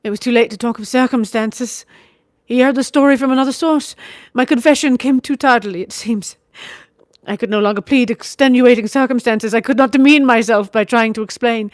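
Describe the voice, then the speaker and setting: A woman's voice: sits high, moderate pace, no room sound, clean studio sound, pitched above the performer's own voice, lightly acted. A woman voicing a vehement young noblewoman. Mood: bitter. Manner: vehement, pleading, near tears. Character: young noblewoman, passionate and bitter. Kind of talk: audiobook character reading.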